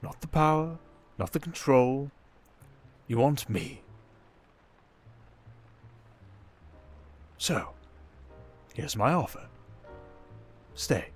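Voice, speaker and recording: A man playing a suave, cunning villain in an intimate ASMR roleplay, speaking in a silky, theatrical, teasing way with deliberate pauses. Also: medium pitch, lively intonation, faint music behind. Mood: seductive, persuasive. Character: suave, cunning male criminal mastermind villain, seductive and teasing.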